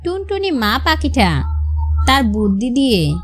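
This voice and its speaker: A woman's voice: sits high, very animated, unhurried. A woman narrating scripted moral stories for children. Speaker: storyteller.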